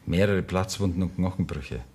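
An actor playing a sober, formal male hospital doctor speaking gravely in a radio drama. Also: deep voice, normal speed, darkish tone.

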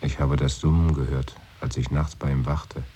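A very deep-voiced, brooding man. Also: little pitch movement, moderate pace, dark tone, a little hiss or hum. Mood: wistful. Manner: musing, often drops to a whisper. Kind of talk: 1980s radio play acting.

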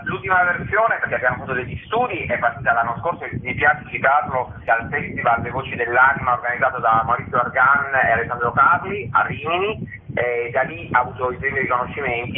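A man giving a spontaneous interview as a theatre guest, speaking haltingly and emphatically, repeating words for stress. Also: mid-range voice. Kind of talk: spontaneous radio interview by phone. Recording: tinny phone line with clear noise.

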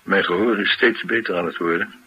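An actor playing a dry, sardonic, reticent former bodyguard troubled by an old head wound, in a Dutch radio drama. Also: male voice, low voice, brisk, slightly rough, muffled recording. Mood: brooding, intense.

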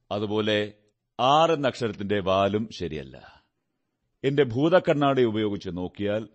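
An actor playing a shrewd, composed male detective in a radio drama, speaking deliberately with theatrical pauses. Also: lowish voice.

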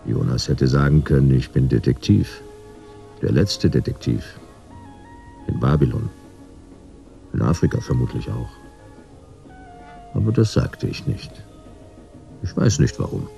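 An actor playing a weary, sardonic private detective who narrates and talks in laconic, dry lines in a radio drama. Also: male voice, a bass voice, animated, a little slow, creaky, pauses often, mellow, soft background music, heavily acted. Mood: guarded, dry. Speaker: narrator and lead character.

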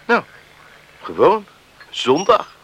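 Slow, bright-voiced easygoing farm lad. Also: male voice, fairly high pitch, huge pitch swings, husky, lo-fi sound, heavily acted. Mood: casual. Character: easygoing, evasive young farm lad, unbothered. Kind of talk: Dutch radio play acting.

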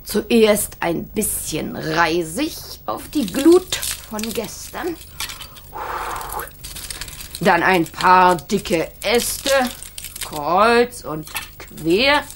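An actress playing a contented, homely country hen-keeper in a children's radio play, talking to herself in a singsong way. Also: female voice, medium pitch, big pitch swings, very slow, exaggerated voice. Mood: absorbed, contented. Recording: noisy, with clicks.

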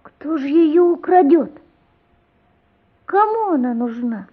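A woman's voice: high-pitched, animated, slow. A woman playing an earnest, anxious small boy in a radio play, speaking with pleading insistence. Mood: anxious, insistent. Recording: muffled radio play recording.

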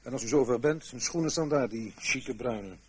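An actor in a radio play playing a brisk, practical agent who gives matter-of-fact instructions. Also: male voice, medium pitch, a crowd in the background, natural voice. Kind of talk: radio drama acting. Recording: noisy old radio play recording.

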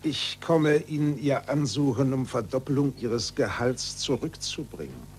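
Evasive, condescending university bureaucrat, male actor, very varied pitch, 1940s radio drama with faint noise. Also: animated, a little slow, a little mellow, lightly acted. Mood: suave, placating. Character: suave, patronizing male university official, smoothly evasive. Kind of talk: 1940s German radio play acting. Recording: noisy old 1940s radio recording.

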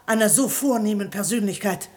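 An actress playing a sharp-tongued, defiant wife in a 1960 radio comedy, scolding and sarcastic. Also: female voice, medium pitch, brisk, close to an everyday voice.

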